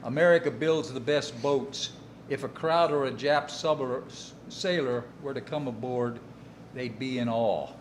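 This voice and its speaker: An actor playing an eager, confident naval officer, respectful and folksy, in a wartime drama. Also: male voice, mid-range voice, a little slow, natural voice. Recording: faint background noise.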